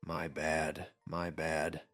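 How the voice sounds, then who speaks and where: Man acting a flippant, slow-talking young boy. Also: extremely low pitch, completely flat delivery, fairly bright tone, no echo, faint music behind, exaggerated voice. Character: naive, flippant young boy companion, blithely blunt.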